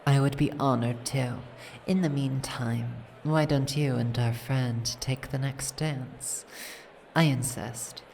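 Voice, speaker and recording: A woman playing a regal female dragon in an ASMR roleplay, speaking in a formal, measured, gracious manner. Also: extremely low pitch, steady tone, creaky, a little mellow, slight background noise, exaggerated voice. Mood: courtly, gracious. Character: courtly, regal female dragon, host of a mansion ball. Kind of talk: ASMR roleplay audio drama.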